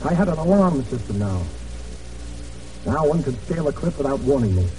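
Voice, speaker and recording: An actor in an old radio drama playing a hunted American Navy man in hiding, weary but determined, speaking in a halting, earnest way. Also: medium pitch, very animated, dark tone, slight background noise, lightly acted. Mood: haunted, grim. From United States. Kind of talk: old radio drama acting, first-person narration and dialogue. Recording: old radio broadcast, distant, music behind.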